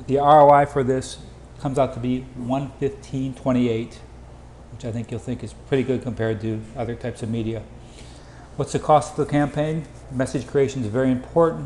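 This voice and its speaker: A man giving an informal sales presentation over slides, with hurried, clipped phrasing. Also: medium pitch, dark tone. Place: indoor room, voice-over for slides. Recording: roomy echo, faint background noise.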